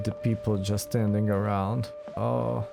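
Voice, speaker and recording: A man's voice: lowish voice, wildly swooping pitch, slow pace, creaky. A man doing casual let's play commentary as host of a game playthrough. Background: game music.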